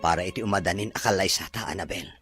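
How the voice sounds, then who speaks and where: A man playing a devoted groom in an audio drama, calm and reassuring. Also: deep voice, fast, music in the background, lightly acted.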